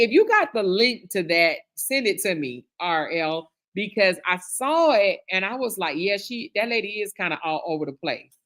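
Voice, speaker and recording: Chatty woman reading viewer comments. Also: medium pitch. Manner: chatty, calls viewers girl, honey, child; reads comments aloud with lively reactions. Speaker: live stream host reading viewer comments. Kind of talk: casual chat.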